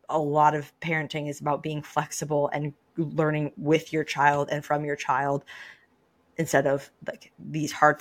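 A woman with a slightly low voice hosts a casual podcast. She sounds around her thirties and gives advice conversationally, often repeating a phrase to drive it home. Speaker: podcast host.

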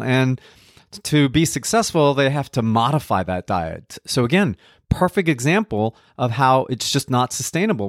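A man's voice: medium pitch, no room sound, studio quality. A middle-aged man from Colombia, a nutrition counselor talking casually and wryly on a podcast. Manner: conversational, wry, lightly joking. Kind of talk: podcast talk.

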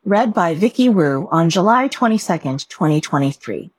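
A woman with a slightly low voice reads a prepared report aloud. Her delivery is measured and careful, with lively movement in pitch. The recording is close and dry, with little sense of a room. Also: husky, no room sound. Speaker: reader of a written report. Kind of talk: scripted read-aloud of a staff report.

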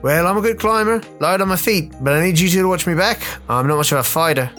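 A man acts a nervous, nimble climber in a radio play. The voice is lifted well up in pitch, timid and colloquial, with light music underneath. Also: fast talker, a bit bright. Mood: uneasy. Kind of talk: radio play character acting.

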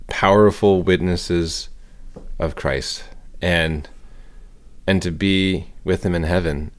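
Young male co-host, very low voice, loose and rambling. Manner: rambling, full of fillers. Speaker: podcast co-host. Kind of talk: casual chat.

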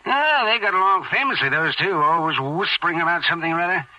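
A man playing a fussy, excitable, gossipy shop owner with a fluttery, theatrical manner in a 1940s radio crime drama. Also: on the high side, fast, low-quality recording. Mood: gossipy, prying. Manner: fluttery, theatrical, whining, giggly.

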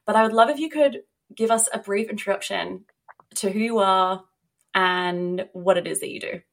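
A woman's voice: mid-range voice, normal speed, vocal fry. A woman hosting a podcast, speaking in an effusive, conversational way as she introduces and interviews. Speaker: podcast host.

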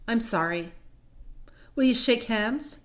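A narrator playing a bossy but fair-minded eldest schoolboy brother in a storybook, with hearty old-fashioned exclamations. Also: male voice, extremely high pitch, expressive, slow pace, fairly bright tone, heavily acted. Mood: contrite.

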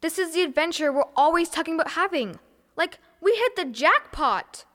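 A woman's voice: very high-pitched, animated, moderate pace, a bit bright, long reverb tail. A woman voicing a bold, confident young girl with an upbeat, bossy way of talking.

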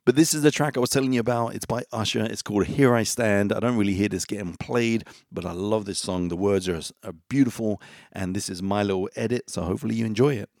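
A man's voice: lowish voice, fast talker. A man hosting a music podcast, chatting casually and directly to his listeners between songs. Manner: relaxed, chatty, talks straight to listeners.

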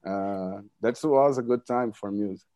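Brazilian guy, portuguese first language, slow mellow talk, lots of restarts and laughs. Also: lowish voice. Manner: halting, repeats words, laughs often. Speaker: band member, guest. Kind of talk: casual interview chat.

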